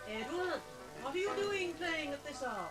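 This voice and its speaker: An actress playing a domineering, scheming murderess in 1940s radio drama style, commanding and coldly coaxing in a melodramatic way. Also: female voice, sits high, very animated, moderate pace, music in the background. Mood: urgent. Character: domineering, scheming murderous woman controlling a weaker man. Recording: hissy, noisy 1940s radio recording.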